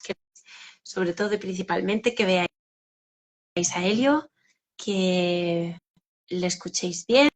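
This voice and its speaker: Woman hosting a live book club, bit hoarse, gushing, talks right to viewers. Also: lowish voice, slow, pauses often. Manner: effusive, addresses viewers directly. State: slightly hoarse.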